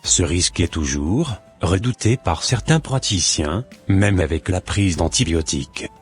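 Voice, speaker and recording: Man doing a cartoon doctor, middle-aged, preachy, deep, husky, soft background music. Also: measured pace, heavily acted. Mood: lecturing. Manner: calm, lecturing and preachy. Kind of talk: amateur cartoon voice acting.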